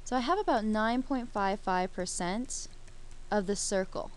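Woman teacher talking thru a math problem, mid pitch, faint hiss behind. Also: unhurried. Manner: steady, explains step by step while writing. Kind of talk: screencast math lesson.

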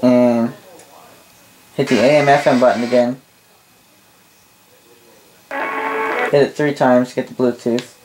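A Canadian man casually demonstrating a gadget as a reviewer, halting, rambling and correcting himself as he goes. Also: mid-range voice, flat delivery, over music, low-quality recording. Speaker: product reviewer. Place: small indoor room at a desk.

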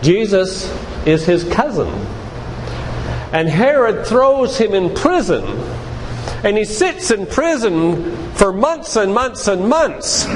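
High-voiced older man preaching in echoey hall. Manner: didactic storyteller, addresses listeners directly. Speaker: physician giving a guest talk at a church. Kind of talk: sermon-like lecture retelling Bible stories. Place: large room, church. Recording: reverberant hall with clear background noise.

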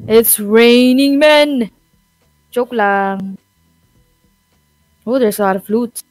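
High-voiced woman gaming. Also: slow, no room sound. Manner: excitable, laughing. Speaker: gamer playing a mobile shooter. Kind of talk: casual gameplay chat. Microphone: phone mic. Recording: dry close sound with game music behind.

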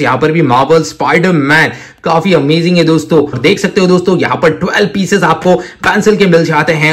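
An excitable man, presenting toys hands-on to viewers in an enthusiastic, repetitive way full of amazed exclamations. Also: on the high side. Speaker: toy review presenter. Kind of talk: enthusiastic hands-on toy showcase, addressing viewers as friends.

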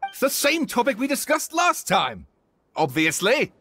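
High-voiced theatrical novelist. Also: male voice, huge pitch swings, a little slow, sharp tone, faint music behind, exaggerated voice. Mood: eager. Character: theatrical, stubborn male novelist, gray-haired.

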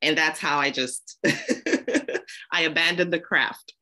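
A woman's voice: sits low, steady tone, unhurried, bright, forceful tone. A woman from Zambia, a podcast guest, telling her story casually and laughing often.

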